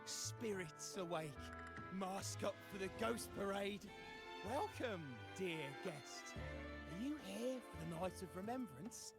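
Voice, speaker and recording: High-voiced, creaky festival showman. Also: animated, takes it slowly, over loud music, full character voice. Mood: spooky, theatrical. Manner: spooky showman delivery, playful. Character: theatrical, teasing male festival greeter in a game. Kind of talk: video game character acting.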